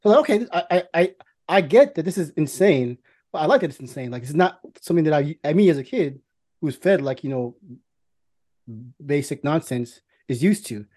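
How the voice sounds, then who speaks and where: A man's voice: fairly high pitch, expressive, fairly quick, a little mellow. A middle-aged man from New York chatting casually as a podcast co-host, rambling, repeating words and swearing freely. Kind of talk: casual film chat.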